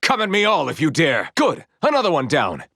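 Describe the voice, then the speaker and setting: A man's voice: fairly high pitch, huge pitch swings, fairly quick, creaky, bright, forceful tone, no echo, studio quality, heavily acted. A man playing a confident, proud naval commander in a video game, speaking in formal, heroic phrasing. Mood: cool, confident. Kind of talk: video game character battle lines.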